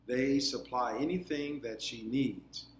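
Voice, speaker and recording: Slow-paced man reading a children's story, roomy. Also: medium pitch. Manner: deliberate, explanatory, drawn-out phrasing. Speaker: read-aloud storyteller. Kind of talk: children's storybook read-aloud. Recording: echoey room, faint background noise.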